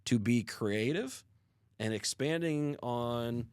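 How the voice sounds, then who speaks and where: A man's voice: mid-range voice, takes it slowly, a little mellow, clean tone, no echo. Earnest man on a dry studio mic. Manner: earnest, reflective storytelling. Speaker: podcast co-host. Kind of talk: casual podcast conversation.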